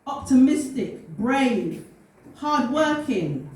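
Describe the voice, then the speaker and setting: A woman recites personal spoken-word poetry in a fairly high voice. Her delivery is deliberate and heartfelt. She sounds some way from the mic in a very reverberant room. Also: big pitch swings, darkish tone. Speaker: poet. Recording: very echoey room, distant mic, faint noise.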